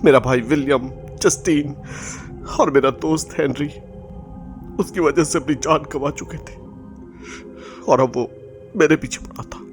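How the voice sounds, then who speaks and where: A man's voice: on the high side, measured pace, long pauses, soft background music, close to an everyday voice. A man with an Indian accent playing an anxious, secretive young bridegroom in an audio drama. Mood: haunted, fearful. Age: young adult. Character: anxious, haunted young bridegroom scientist, devoted but secretive. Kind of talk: Hindi audio drama acting and first-person narration.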